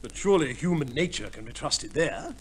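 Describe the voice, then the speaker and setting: A skeptical English gentleman in an old radio drama. He talks very quickly in a fairly high voice, clipped and incredulous, with lively jumps in pitch. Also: husky. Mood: incredulous, dry. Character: skeptical, plain-spoken English gentleman facing divorce. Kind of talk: 1950s radio play acting.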